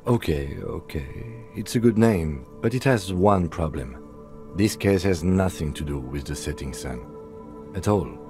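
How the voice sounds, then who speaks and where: A man playing an earnest, reserved police lieutenant in a video game, with measured, polite, deadpan delivery. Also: deep voice, soft background music. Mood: tactful. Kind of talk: video game dialogue acting.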